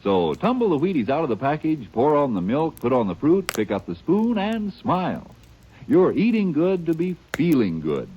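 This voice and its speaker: A man's voice: huge pitch swings, normal speed, dark tone, a little hiss or hum, poor audio. A man delivering a folksy, hearty 1940s radio cereal commercial. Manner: folksy, hearty sales pitch. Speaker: commercial announcer. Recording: old radio broadcast.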